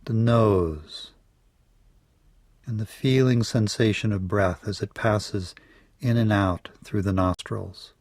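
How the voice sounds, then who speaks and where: A man leading a guided yoga nidra meditation in a measured, soothing way, letting his phrases trail off softly. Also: on the low side, no echo, studio quality.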